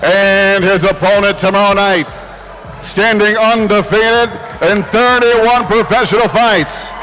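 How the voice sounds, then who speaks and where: A male ring announcer projects a boxing weigh-in announcement at a high pitch. He shouts it out slowly, stretching the words for effect. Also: steady tone, soft background music, rough recording. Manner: projected, drawn-out delivery.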